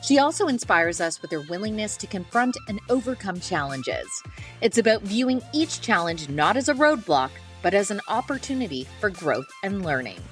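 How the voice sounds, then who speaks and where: An upbeat woman co-hosting a podcast moves from a polished scripted intro into playful, easygoing questions. Her voice sits at a middle pitch, and faint music sits beneath it. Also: big pitch swings, normal speed, fairly bright tone. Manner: upbeat, playful, occasionally swears. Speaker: podcast co-host. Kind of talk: scripted intro, then casual interviewing.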